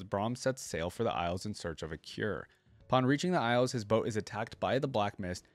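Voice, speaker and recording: A man with a mid-range voice hosts a reaction video in a casual, conversational way. He moves between reading text aloud and thinking out loud about it. The sound is dry, with very little room in it. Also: mid-range voice, no echo. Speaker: YouTube reaction host.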